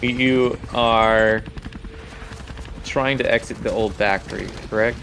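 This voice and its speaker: A man's voice: on the low side, steady tone, slow, fairly bright tone. A man running a tabletop game as game master, narrating casually and improvising as he goes. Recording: faint background noise.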